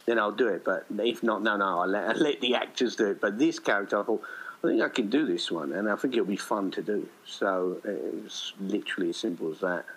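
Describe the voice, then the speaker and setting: Low-voiced man chatting casually in interview. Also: fairly bright tone, low-quality recording. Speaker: writer and director, podcast guest.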